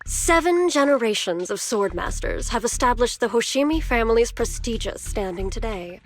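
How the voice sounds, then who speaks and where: A woman's voice: a little high, expressive, moderate pace, faint music behind, natural voice. A woman playing a smug, scheming villainess in a video game, theatrical and taunting, with cool menace. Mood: reverent, taunting. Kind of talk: theatrical scripted game dialogue.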